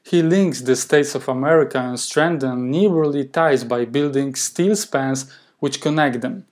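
A man's voice: mid-range voice, a little slow, darkish tone. A man in his thirties with a Polish accent, hosting a history channel and reading a scripted narration carefully from a home office on a lapel mic. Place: home office with desk and plant.

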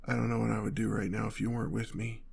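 A man's voice: fairly low pitch, little pitch movement, quick pace, creaky, darkish tone, breathy edge, exaggerated voice. A man playing a protective, anxious boyfriend on the run, stammering and tender, in an intimate audio roleplay. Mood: relieved, affectionate. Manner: stammering, urgent, tender reassurance. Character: panicked, protective boyfriend fleeing a gunman with his partner.

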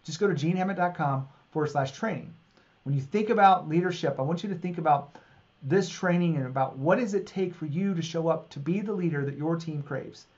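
A man in his forties hosts a business podcast in a fairly high voice. He talks upbeat and directly to listeners, recorded on a studio mic in a home office. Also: fairly quick, darkish tone, dull audio. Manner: upbeat, promotional, direct address. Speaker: podcast host. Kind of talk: podcast intro and interview. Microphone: studio mic on boom arm.

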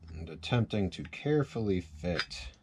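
A man's voice: deep voice, animated, moderate pace, background noise. A man giving a casual hands-on repair tutorial, thinking aloud informally as he works at a workbench. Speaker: chainsaw repair demonstrator. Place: cluttered indoor workshop at a workbench.

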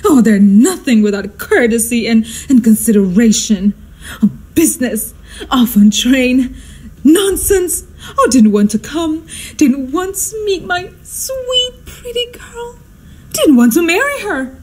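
A woman's voice: sits high, animated, takes it slowly, darkish tone, slightly rough, full character voice. A woman playing a histrionic society hostess and mother in a radio drama, speaking in a flustered, theatrical, exclamatory way. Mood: exasperated, indignant. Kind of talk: stagey radio drama acting.

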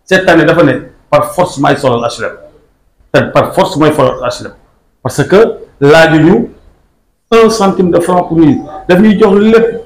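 Older Senegalese man, high-ish, urgent promo pitch, roomy echo. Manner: emphatic, rising into quick excited bursts. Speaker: club supporter speaking to camera. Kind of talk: fast promotional appeal. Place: small office indoors. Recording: echoey room with faint background noise.

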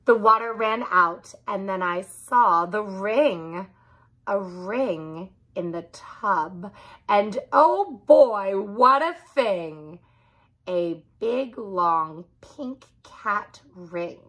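A woman in her thirties reading a children's story aloud in an expressive narrating style. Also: mid-range voice, extremely slow. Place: small furnished room indoors.

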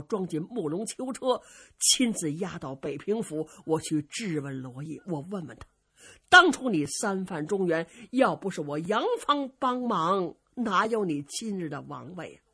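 A woman voicing an aggrieved but forbearing old lord in a storytelling tale, slow and deliberate. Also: fairly low pitch, a bit bright, husky, full character voice.

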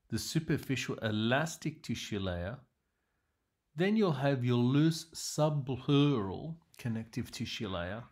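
A man lecturing in a measured, explanatory way, narrating slides as a medical teacher with emphatic sentence openings. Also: mid-range voice, darkish tone.

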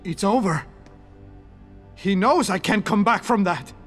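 An anguished, high-voiced disgraced surgeon. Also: male voice, animated, measured pace, fairly bright tone, faint music behind. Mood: bitter regret. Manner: formal, anguished. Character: guilt-ridden, betrayed male surgeon, bitter and despairing. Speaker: former surgeon. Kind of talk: video game cutscene acting.

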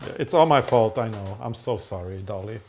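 A man's voice: fairly low pitch, expressive, a little fast, a little mellow, faint music behind. A man, teasing his partner and reading recipe steps aloud in a home kitchen. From Norway. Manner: teasing, reads instructions aloud, laughs. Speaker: co-host. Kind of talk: casual baking chat. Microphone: unseen mic, medium-distance camera.

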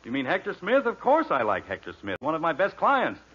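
A middle-aged sitcom father, wry and exasperated, with a forceful, very animated delivery. It is 1940s radio comedy on a dull vintage recording. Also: fairly high pitch, fairly quick, breathy edge, full character voice. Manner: sarcastic, authoritative.

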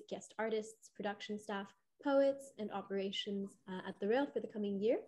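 Young woman reading opening remarks. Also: mid-range voice. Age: young adult. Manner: clear, steady, reading prepared text. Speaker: host. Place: home room. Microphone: video call.

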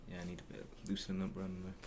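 A young man in his twenties doing a soft-spoken ASMR roleplay as a repair mechanic, murmuring close to the mic and often half-whispering in an unhurried, reassuring way. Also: low-pitched, completely flat delivery. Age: 20s. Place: indoors, virtual garage backdrop. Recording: noticeable background noise with handling sounds.

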